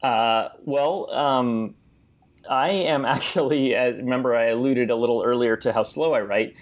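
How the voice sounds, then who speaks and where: A man's voice: mid-range voice, measured pace. A man who is a film director, a guest on a podcast, speaking earnestly and hesitantly with many ums. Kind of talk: casual podcast interview.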